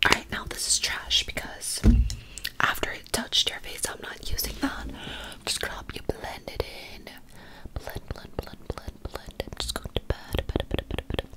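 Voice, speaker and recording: A woman whispering as a snobby, impatient mean girl in an ASMR roleplay, curt and condescending in a breathy voice. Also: measured pace, close to an everyday voice. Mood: snarky, impatient. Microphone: close desktop condenser microphone.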